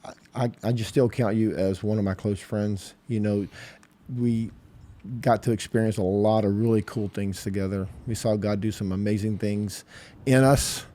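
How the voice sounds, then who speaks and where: A pastor in his late fifties hosting a podcast, talking casually and warmly with a friend, often adding "man" and "yeah.". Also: fairly low pitch, steady tone, moderate pace. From southeastern Louisiana. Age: 50s. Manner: warm, affectionate, says "man" and "yeah" a lot. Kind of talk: casual conversation.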